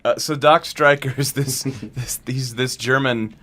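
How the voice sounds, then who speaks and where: A man with a mid-range voice, talking as a creative guest in an interview. He is chatty and animated, explaining things in a casual conversational way. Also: medium pitch, measured pace. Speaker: show creator, director and actor, interview guest.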